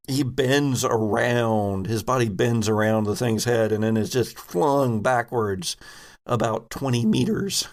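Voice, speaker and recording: A man narrates a tabletop game as its game master. His voice sits at a medium pitch with noticeable vocal fry. He takes his time, describing things deliberately and improvising as he goes.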